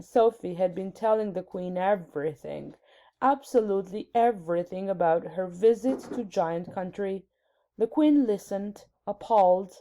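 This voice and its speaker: A woman's voice: mid-range voice, warm tone, rough recording. A woman reading a children's storybook aloud as narrator. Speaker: story reader.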